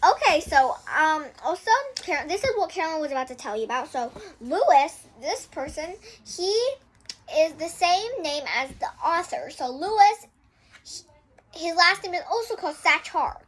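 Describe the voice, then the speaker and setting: A woman's voice: sits high, animated, moderate pace. A young girl hosting a casual read-aloud of a children's book, often breaking off to explain things to viewers in chatty asides.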